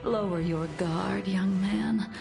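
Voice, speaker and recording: A woman playing an ancient, serene swordswoman in a video game, measured and wistful, with a breathy voice that slips toward whispers. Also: medium pitch, fairly even intonation. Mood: calm, assured. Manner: measured, wistful, gently commanding. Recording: clear background music behind voice.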